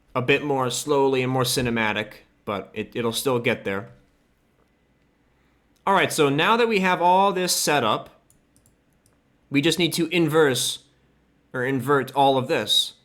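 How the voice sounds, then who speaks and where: A man giving a casual, offhand software tutorial, thinking aloud as he works. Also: mid-range voice. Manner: informal, loose and offhand, occasional swearing. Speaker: host of a game modding tutorial. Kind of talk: casual screen-capture tutorial, thinking aloud while working.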